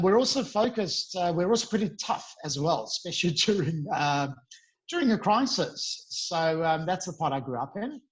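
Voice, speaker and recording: A man's voice: fairly high pitch, dull audio. A man in his forties, an earnest, upbeat podcast guest chatting over a video call. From South Africa. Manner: upbeat, earnest, with bursts of emphasis. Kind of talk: casual interview chat.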